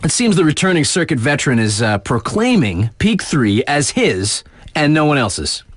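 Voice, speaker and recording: A man's voice: fairly high pitch, sing-song, normal speed, dry recording, clean studio sound. A man playing a cool, cheeky young radio DJ in a video game, delivering conversational sports-circuit patter with laid-back hype and teasing asides. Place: dry studio booth.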